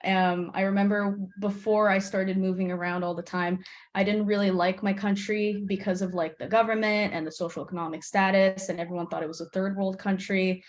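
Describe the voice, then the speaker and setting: A woman's voice: mid-range voice, little pitch movement, fairly quick. A young woman from Brazil talks casually in a mid-range voice over a video call. She fills her speech with "like" as she speaks on the panel. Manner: casual, filler-heavy with "like". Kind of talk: webinar panel discussion.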